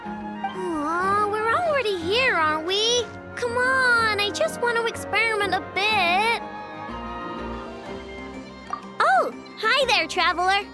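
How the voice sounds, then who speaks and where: A woman's voice: very high-pitched, expressive, a little slow. A woman voicing a spirited, stubborn young girl inventor in a video game, with a breezy, boastful, coaxing manner. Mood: eager, curious. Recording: background music behind the voice.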